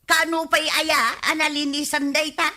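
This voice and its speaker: A complaining village wife from a radio comedy, with an Ilocano accent. She scolds insistently in a bright, highish voice. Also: little pitch movement, normal speed. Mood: indignant. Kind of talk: regional radio comedy drama acting.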